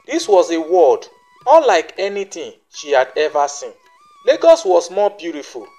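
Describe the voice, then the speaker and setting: A narrator reading a scripted folktale as a storyteller. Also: medium pitch.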